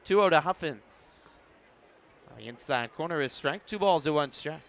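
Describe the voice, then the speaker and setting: An American man doing sports play-by-play commentary, measured between plays and rising to excited calls. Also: on the high side.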